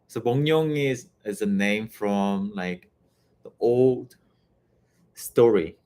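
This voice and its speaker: A young man with a Korean accent co-hosting a livestream, speaking slowly in English and searching for words. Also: on the low side, lively intonation, mellow, clear, resonant tone. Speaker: livestream co-host. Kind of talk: casual live chat.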